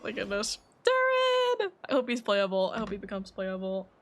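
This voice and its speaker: A woman game streamer chatting casually and reacting live in an animated, expressive way. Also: medium pitch, normal speed. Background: game music.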